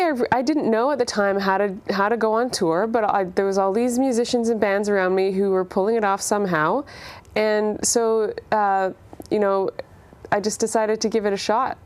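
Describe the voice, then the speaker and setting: A woman's voice: mid-range voice, a little mellow. A young woman from Canada with a Canadian accent, an interview guest chatting casually and thinking aloud. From Canada, born in Ireland. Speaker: interview guest, singer-songwriter. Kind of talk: casual chat.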